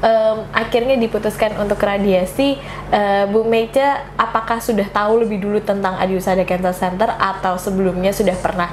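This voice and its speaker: A woman's voice: medium pitch, flat delivery, a little fast, slight background noise. A woman hosting an interview podcast, asking questions and affirming her guest often. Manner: encouraging, frequent affirmations. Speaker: podcast host.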